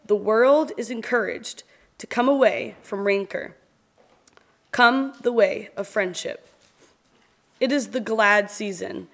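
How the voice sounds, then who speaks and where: A woman giving a solemn, expressive reading of scripture and poetry in a church service, with measured phrasing and deliberate pauses. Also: medium pitch, vocal fry.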